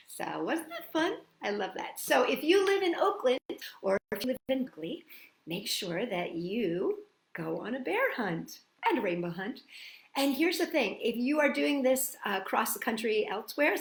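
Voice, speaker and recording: A woman hosting a storytime for children, speaking in an animated, expressive way straight to her young audience. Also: medium pitch, roomy sound, slight background noise.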